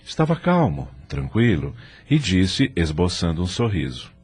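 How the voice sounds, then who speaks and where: Deep-voiced Brazilian male narrator, expressive. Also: unhurried, breathy edge. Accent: Brazilian Portuguese. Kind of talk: scripted audio drama narration.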